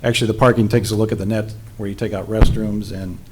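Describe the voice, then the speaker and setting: Low, flat-voiced city staff man. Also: husky. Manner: measured, procedural, many fillers. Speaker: city planning staff presenter. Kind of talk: reading a staff report at a public meeting. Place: city council chamber.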